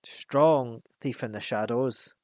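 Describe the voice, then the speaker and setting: A man voicing a huge, boastful, wicked old male dragon in a storybook reading, with a slow, gloating, menacing delivery. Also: mid-range voice, a little mellow, dry recording, studio quality, natural voice.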